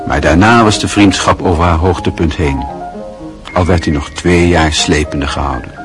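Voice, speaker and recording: A man narrating a radio play in a measured, expressive storytelling manner. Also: low-pitched, music in the background.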